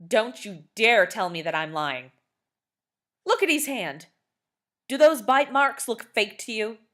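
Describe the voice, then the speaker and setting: A woman's voice: a little high, expressive, slow, pitched above the performer's own voice. Woman doing a bellowing angry neighbor man. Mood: furious. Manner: shouting, indignant.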